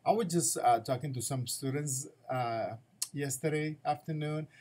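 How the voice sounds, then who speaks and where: A man with a fairly high voice reads the morning announcements as a school principal. He sounds upbeat and encouraging and keeps circling back to the same advice. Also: measured pace, a little mellow.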